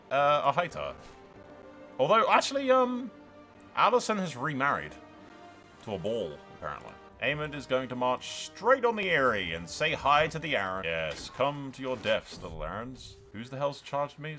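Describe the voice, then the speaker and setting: A man's voice: mid-range voice, pushed and bright. A man giving casual, rambling, irreverent commentary as he plays through a game, swearing freely. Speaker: gaming video host.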